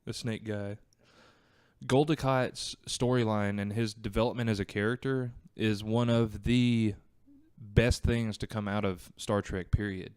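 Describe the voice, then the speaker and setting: A man's voice: fairly low pitch, steady tone, a little slow, a little mellow, smooth, dry recording, studio quality. A man chatting casually and explaining his views as a podcast guest. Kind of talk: casual chat.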